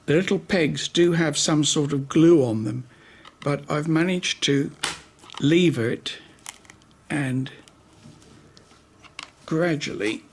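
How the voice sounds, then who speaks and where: A man talks through a practical job as he does it, in a slightly high voice with a husky grain. His delivery is very slow and unscripted. He often pauses partway through a sentence while he works. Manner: unhurried, pausing mid-sentence while working. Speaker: host of a hi-fi repair channel. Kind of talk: unscripted hands-on demonstration commentary.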